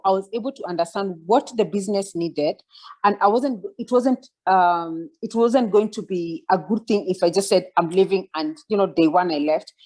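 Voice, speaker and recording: A woman's voice: mid-range voice, a little mellow. A woman in her forties giving a reflective mentoring talk over a video call, with many fillers and restarts. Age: 40s. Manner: reflective, many fillers and restarts, asks listeners to follow along. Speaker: guest speaker.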